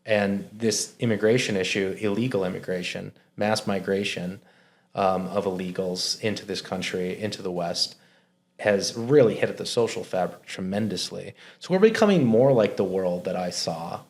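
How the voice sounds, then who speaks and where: A man from Minnesota answers questions as an interview guest. His voice sits low, and he talks in an earnest, reflective way. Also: moderate pace. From Minnesota, United States. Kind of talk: conversational interview.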